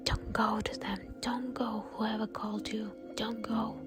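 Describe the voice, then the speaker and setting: A woman voicing a frightened, protective old grandmother in a hushed whisper. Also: fairly high pitch, level tone, a little slow, lightly acted. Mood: pleading. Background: soft music.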